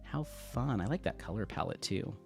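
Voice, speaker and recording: A man's voice: fairly low pitch, normal speed, gravelly, faint music behind. Sniffly man chatting on a craft livestream. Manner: relaxed, friendly, reads viewer comments aloud. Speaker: livestream host. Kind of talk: casual live-stream chat, reading comments. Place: home craft room, at a desk. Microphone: desk microphone.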